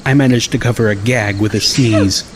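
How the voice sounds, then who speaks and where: A man's voice: lowish voice, steady tone, normal speed, slight background noise. A man reading a first-person story as an audiobook narrator.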